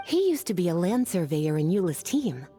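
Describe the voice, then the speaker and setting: A woman playing a friendly, confident, flirty knight in a video game, with a mellow, breathy voice over faint music. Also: fairly low pitch, very animated, normal speed. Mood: warm, assured. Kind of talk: video game character acting.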